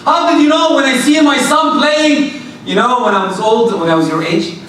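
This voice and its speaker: A middle-aged man with a loud voice giving a religious talk to young people, talking to them directly with many rhetorical questions. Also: very high voice, steady tone, moderate pace. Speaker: lecturer addressing youth. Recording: very echoey hall, distant mic, faint noise.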